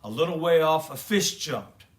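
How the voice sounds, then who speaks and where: A man reading a children's story aloud as expressive narration. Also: on the high side, normal speed. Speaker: children's story reader and host.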